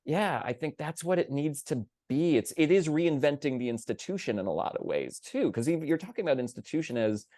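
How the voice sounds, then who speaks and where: A man's voice: fairly high pitch, expressive, brisk, hardly any pauses, mellow, dry recording, clean studio sound. A man speaking casually as a theatre panelist, enthusiastic and digressive, often dropping in the word like.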